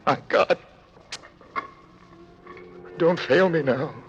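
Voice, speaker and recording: A man's voice: on the high side, drawn-out words, lo-fi sound, music in the background. An actor playing an anguished prisoner who recounts his years in a dungeon, grave and intense, in classic radio drama style. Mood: desperate, pleading. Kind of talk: classic radio drama acting, dramatic first-person narration. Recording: noisy old radio recording.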